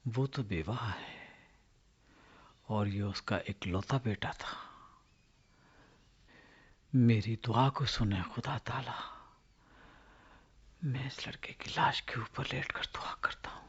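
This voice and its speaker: A solemn, compassionate holy man pleads with fervent devotion. His voice is husky and breathy, his delivery unhurried, in a muffled religious drama recording. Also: mid-range voice, fairly bright tone, full character voice. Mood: sorrowful pleading. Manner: solemn, pleading, slow in prayer. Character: devout male Israelite prophet, solemn, compassionate, fervent.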